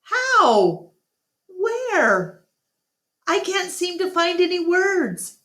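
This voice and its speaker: A woman's voice: very high-pitched, big pitch swings, drawn-out words, a bit bright, voice pushed up, exaggerated voice. A woman voicing an earnest, emotional male silverback gorilla in a storybook read-aloud. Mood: overwhelmed. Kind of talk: first-person storybook character. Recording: echoey room.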